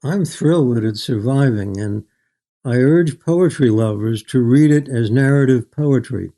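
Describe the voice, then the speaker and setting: An older man talks as an author being interviewed about his writing. His voice is soft-edged and mellow, sitting at an average pitch. He is measured and articulate, choosing his words with thought. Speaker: guest author. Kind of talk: reflective literary interview.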